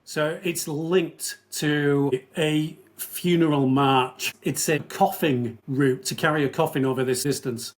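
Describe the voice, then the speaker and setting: A man from the north of England, a former soldier and event organizer, answering interview questions in a measured, storytelling way. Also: a little high, fairly even intonation. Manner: measured, storytelling, addresses host by name.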